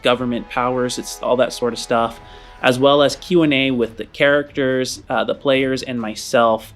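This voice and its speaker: A man hosting a tabletop role-playing podcast, welcoming listeners in an earnest, rambling way, with faint music behind him. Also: medium pitch. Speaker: game master and podcast host. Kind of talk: casual podcast intro, then dramatic narration.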